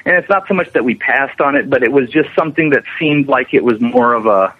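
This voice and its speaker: A man's voice: mid-range voice, a little fast, slightly rough. Man on a tinny phone-like line. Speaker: interviewed special effects animator. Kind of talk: conversational interview answers.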